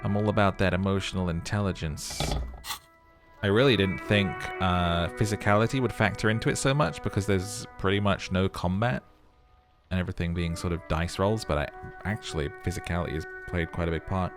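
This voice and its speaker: Hoarse, chatty male game commentator. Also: sits low, a little fast, over music. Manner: chatty and self-mocking. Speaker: let's play host. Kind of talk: gameplay commentary and narration.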